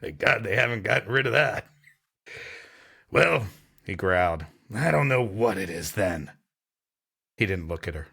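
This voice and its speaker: A man's voice: on the low side, animated, a little slow, gravelly, pauses often, bright, forceful tone, husky. A man co-hosting a podcast, reading a story aloud with dry, wry joking asides. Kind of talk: casual banter mixed with story reading.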